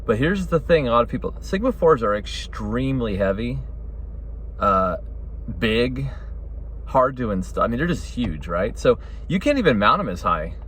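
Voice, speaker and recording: A man's voice: mid-range voice, very animated, normal speed, a bit bright, slight background noise. A middle-aged man giving a casual, rambling opinion talk as a hobbyist, correcting himself and checking in with "right?". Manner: rambling, self-correcting, often adds "right?" and "you know". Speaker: hobbyist radio vlogger.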